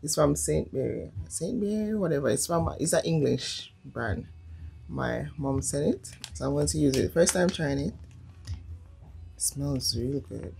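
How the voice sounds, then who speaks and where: A young woman with a Jamaican accent and a low, husky voice, vlogging casually about her day. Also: lively intonation, measured pace, darkish tone. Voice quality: husky throat, creaky low voice. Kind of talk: casual vlog talk and chat.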